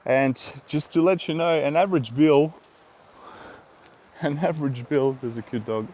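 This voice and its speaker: A man's voice: on the high side, unhurried, a little mellow. Laid-back bilingual man, laughing often. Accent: Eastern European. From Eastern Europe. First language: Russian. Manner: rambling, laughs often, many fillers. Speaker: vlogger. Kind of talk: casual live-stream chat.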